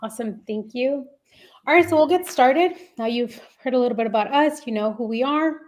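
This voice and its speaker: Upbeat webinar host: a woman, fairly high voice, friendly explanatory delivery with fillers. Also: fairly quick, darkish tone. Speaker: immigration firm CEO hosting a webinar. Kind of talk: live webinar presentation.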